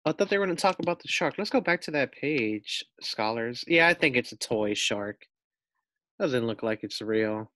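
A man's voice: mid-range voice, expressive, moderate pace, sharp tone, no echo, clean studio sound. A man with an American accent reading a children's story aloud like a teacher, putting questions to his young listeners. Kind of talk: expressive children's read-aloud narration.

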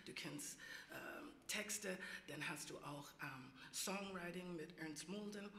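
A woman's voice: lowish voice, slow, bright, forceful tone, a little hiss or hum. American woman speaking German, roomy hall. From United States. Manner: slightly accented, searching for words, mixing in English terms. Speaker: interviewer. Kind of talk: live stage conversation. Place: gallery event space. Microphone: table mic at a distance. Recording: echoey room.

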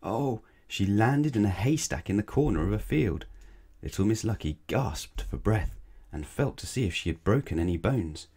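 A man's voice: on the low side, lively intonation, normal speed, dark tone, slightly rough. A man reading a children's bedtime story aloud, dramatically, stretching and stressing words. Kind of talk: expressive read-aloud bedtime narration.

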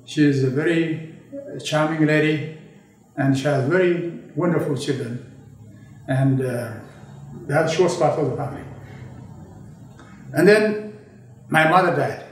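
A man in his eighties from Ethiopia, slowly and thoughtfully recalling his childhood in an oral history interview. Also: fairly high pitch. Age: 80s. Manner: slow, measured, reflective, with pauses and restarts. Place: indoor studio with a draped backdrop. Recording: very echoey room, voice from a distance.

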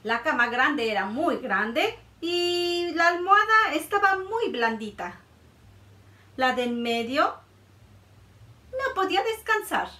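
A woman in her thirties reading a picture book aloud to children, expressive and sing-song. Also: sits high. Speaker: children's librarian storyteller. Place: indoor room by a felt board. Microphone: camera a few feet away. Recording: roomy sound from a distance.

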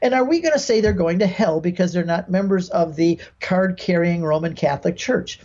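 A man's voice: sits high, a little fast, darkish tone. Older american guy on a video call, explaining with stories, emphatic, acts out quotes, slightly muffled audio. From Michigan, United States. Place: home room with bookshelves, video call.

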